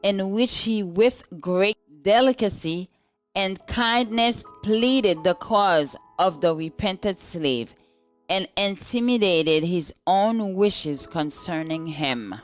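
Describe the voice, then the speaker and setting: A woman's voice: mid-range voice, slow, faint music behind. A woman reading a scripted religious devotional aloud as narration. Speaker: devotional reader.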